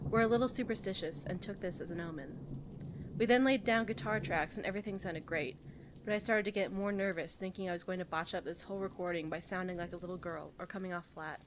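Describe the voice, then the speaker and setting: A woman's voice: on the low side, fast, background noise. A woman co-hosting a podcast, moving between casual chat and reading passages aloud. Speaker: podcast co-host.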